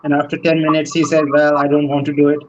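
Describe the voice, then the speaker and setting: A man with a somewhat high voice answers off the cuff as a parent panelist. The sound is muffled, with clear noise behind. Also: monotone, fast. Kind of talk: casual, unscripted panel answer. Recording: muffled, noisy online call.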